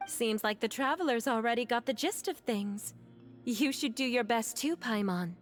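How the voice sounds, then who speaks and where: A woman's voice: a little high, lively intonation, moderate pace, lightly acted. A woman voicing a polite young female adventurer in a video game, speaking with formal, storybook courtesy. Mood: gracious. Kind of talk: animated video game acting. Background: faint game music.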